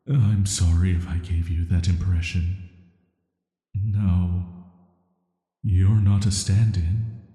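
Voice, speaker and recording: A man plays an ancient banished villain, intimate and quietly dangerous, in an atmospheric sleep-aid roleplay. His voice is very low, dark and soft. He speaks in a flat, deliberate, flirty way, surrounded by echo. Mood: tender, seductive. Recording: echoey voice with long reverb.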